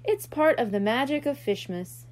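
Woman as a patient, animated merkitten mother. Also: on the high side, normal speed, lightly acted. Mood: reassuring. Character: patient, reassuring mother cat-mermaid.

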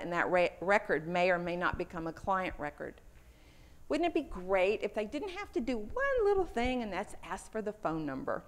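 Texan woman giving a conference talk. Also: mid-range voice. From Houston, Texas. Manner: earnest, personal storytelling with a few fillers. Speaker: conference speaker. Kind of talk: lightning talk presentation. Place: conference room.

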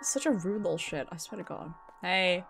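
A woman's voice: lowish voice, lively intonation, normal speed, vocal fry, over music. A British woman giving casual, playful, sweary live commentary as she streams a video game. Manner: playful, sweary, chatting to viewers.

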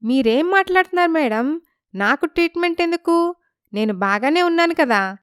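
A woman's voice: high voice, lively intonation, normal speed, no room sound, clean studio sound, voice pushed up. A woman voicing a naive village wife in a moral story, wronged and indignant, pleading and questioning. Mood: puzzled, polite. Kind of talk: dramatized moral story dialogue.

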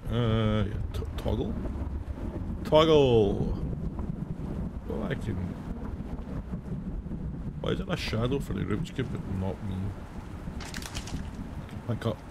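A man in his thirties, hoarse and raspy after days of singing, hosting a casual gaming video where he reads questions aloud and answers them with jokes. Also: fairly low pitch, lively intonation, slow, long pauses, heavy background noise. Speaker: gaming channel host. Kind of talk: casual let's-play commentary. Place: home room, indoors. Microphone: headset.

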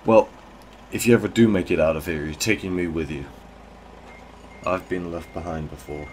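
Man playing Buck, a gruff low-voiced crook. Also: steady tone, moderate pace, darkish tone, a little hiss or hum, lightly acted. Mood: dry, dismissive. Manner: dry, dismissive. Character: Buck, gruff no-nonsense male drug dealer. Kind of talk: audio drama dialogue.

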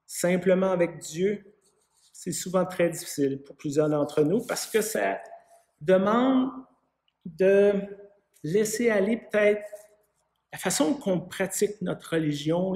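A man in his fifties with a Quebec French accent, giving a sermon as a pastor in a measured, conversational way with some hesitant phrasing. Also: sits high, darkish tone. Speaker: parish pastor. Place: small vaulted room with an arched window, indoors. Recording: echoey room.

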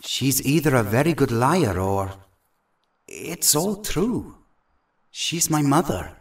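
A man playing a young, wry and gentle mutant hero in an audio drama. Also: medium pitch, very animated, slow pace, recorded from a distance. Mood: subdued, reflective.

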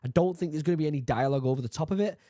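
A man in his thirties from the UK, a bit hoarse from being sick, chatting casually as he reacts to a video and reads labels aloud, excited now and then. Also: a little high, very fast, no room sound, clean studio sound. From United Kingdom. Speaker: YouTube reaction host. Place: home study with bookshelves. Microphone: studio mic on boom arm, headphones.

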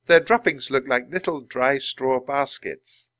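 A man voicing a serious adult panda keeper in a storybook reading, measured and deadpan. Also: mid-range voice, no room sound, voice pushed up, natural voice. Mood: calm.

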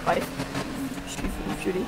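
Male gamer, improvised robber roleplay, polite hesitant commands, very noisy voice chat, car ambience. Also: unhurried. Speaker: online player acting as robber. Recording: clearly noisy game voice chat.

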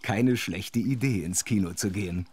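A man's voice: lowish voice, moderate pace. A man narrating a scripted children's story, with playful, conspiratorial asides to the listener.

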